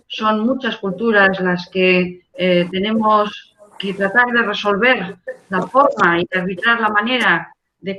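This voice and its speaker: A conference moderator speaking formally and courteously with some hesitations, introducing the next speaker. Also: male voice, high-pitched, highs cut off.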